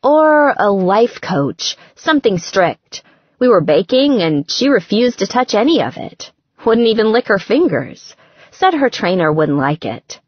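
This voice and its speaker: A woman voicing an affectionate, sardonic young bride-to-be who frets, in an expressive conversational manner for an audiobook. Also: mid-range voice, a little slow, breathy edge, dry recording, dull audio, pitched above the performer's own voice. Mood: chatty, musing.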